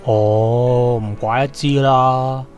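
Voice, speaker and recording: A man's voice: mid-range voice, steady tone, drawn-out words, darkish tone. Male, Hong Kong Cantonese actor as a polite teenage schoolboy footballer, sincere and hesitant, radio drama over music. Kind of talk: Hobby radio drama acting. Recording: music bed under the dialogue.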